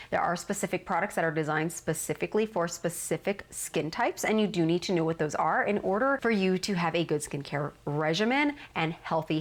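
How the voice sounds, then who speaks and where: A woman vlogger explaining skin care, sounding a little nasal while getting over a cold. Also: lowish voice. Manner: earnest, explanatory. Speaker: skincare vlogger. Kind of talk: casual instructional talk.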